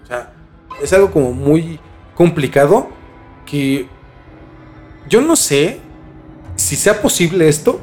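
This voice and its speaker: Higher-pitched Mexican man, casual storyteller. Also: sing-song, a little mellow, faint music behind. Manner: informal, halting, mildly profane. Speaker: podcast host.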